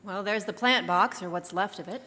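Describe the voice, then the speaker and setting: A woman playing a loyal, anxious detective's companion in an old-time radio drama, expressive and inquisitive. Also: mid-range voice, normal speed, slight background noise, natural voice. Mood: curious, alert. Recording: live stage in reverberant church hall.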